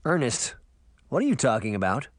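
Storybook uncle, acted by a man, playful tease, very animated pitch, dry sound. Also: a little fast, no room sound. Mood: surprised, insistent. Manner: playful, ribbing, casual. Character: breezy, teasing grown uncle, jokey and familiar. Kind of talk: audiobook character voice.

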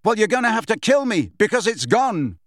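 A defiant young wizard boy, as a male narrator plays him in a storybook. His voice is raised and lively, shouting angrily and mocking his foe. The sound is dry and close. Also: high voice, a little fast, no echo, voice pushed up. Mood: defiant, roaring. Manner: shouting, taunting.

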